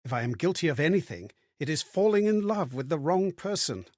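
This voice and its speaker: Game role: haunted, wondering man lost in memories; very lively intonation, breathy husk, theatrical delivery, dry close recording. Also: a little high, a little fast, no room sound. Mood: bitter, grave. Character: haunted, bewildered man recalling fragmented, strange memories. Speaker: video game voice actor. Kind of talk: dramatic game character monologue.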